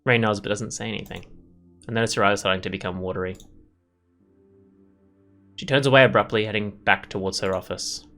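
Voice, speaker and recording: A man's voice: fairly low pitch, steady tone, brisk, pauses often, fairly bright tone, faint music behind. A man hosting a gameplay video, reading visual novel text aloud and adding rambling, casual commentary.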